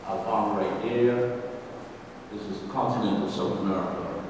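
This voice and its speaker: A man's voice: on the low side, steady tone, normal speed, noisy background. A man from Guyana with a Guyanese accent, giving a folksy, conversational history lecture to an audience and asking them questions. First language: English. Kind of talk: public history presentation. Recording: distant, reverberant hall recording.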